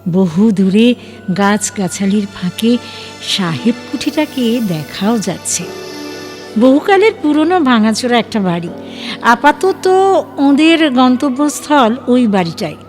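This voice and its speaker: A woman's voice: a little high, big pitch swings, a little slow. A woman reading scripted story narration for a dramatized audio book. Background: background music.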